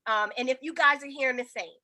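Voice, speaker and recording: A woman's voice: sits high, fast, bright, forceful tone. A woman consulting for small businesses, talking in a rambling way with many ums and restarts on a casual group video call.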